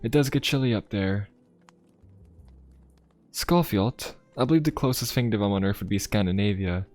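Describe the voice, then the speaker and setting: Man playing a low-voiced, courteous young fantasy pope. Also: moderate pace, dry recording, lightly acted. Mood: courteous, explaining. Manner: polite, formal, gently musing. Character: courteous, inquisitive young male pope of a steampunk fantasy city. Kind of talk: ASMR roleplay addressed to the listener. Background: music.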